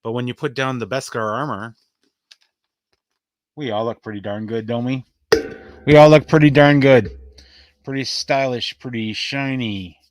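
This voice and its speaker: A man's voice: medium pitch, measured pace, long pauses, no room sound. A man hosting a casual vlog, chatting upbeat and playful as he shows off his collectibles to the camera. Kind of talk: casual show-and-tell chat to camera.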